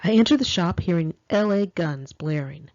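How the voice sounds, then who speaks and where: Female memoir narrator, American, lively swooping intonation, confiding and dramatic, on a slightly dull lo-fi home recording. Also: lowish voice, normal speed, no echo. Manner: expressive, confiding, girlish slang. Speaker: author reading own memoir. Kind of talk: first-person audiobook narration, conversational and dramatic.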